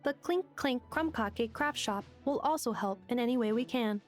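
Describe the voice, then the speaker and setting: Woman as a high, deadpan, polite robot girl. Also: normal speed, faint music behind, lightly acted. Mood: deadpan, earnest. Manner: deadpan, formal, precise. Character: literal-minded female robot, polite, earnest and methodical. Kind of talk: video game voice acting.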